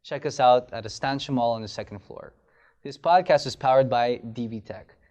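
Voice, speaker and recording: A man's voice: medium pitch, normal speed, a little mellow, dull audio. A man hosting a podcast, reading the intro and sponsor spots and then asking a guest questions in a friendly, upbeat way. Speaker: podcast host.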